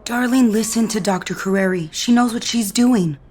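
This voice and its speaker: An actress playing a defiant, scornful wife in an audio soap opera, with a sarcastic, biting delivery. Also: female voice, on the high side, normal speed, a little mellow, natural voice. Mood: composed. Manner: sarcastic, cutting.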